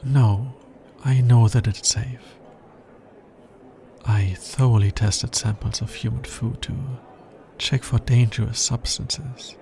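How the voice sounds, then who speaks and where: An anxious, logical alien scientist, acted by a man in an intimate ASMR roleplay. His voice is dark and creaky, and he talks very slowly, in careful, formal phrases that often halt. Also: medium pitch, fairly even intonation, a little hiss or hum. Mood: hesitant, uneasy. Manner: hesitant, formal, halting.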